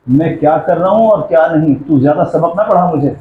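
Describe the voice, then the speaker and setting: Guy acting a strict middle-aged dad, kinda high voice, dull roomy recording. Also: animated, natural voice. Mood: stern, annoyed. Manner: curt, scolding. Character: stern, stingy elder brother and father. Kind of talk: amateur moral drama acting in Urdu. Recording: roomy echo, dull sound, faint noise.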